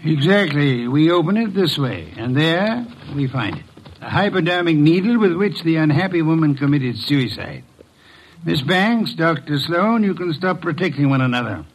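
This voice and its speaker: Casting: an older, imperious genius detective for a 1950s radio mystery; fairly high, gravelly voice, formal and pompous. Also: male voice, expressive, moderate pace, rough recording. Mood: solemn, expository. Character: imperious, eccentric genius male private detective. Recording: vintage radio drama recording.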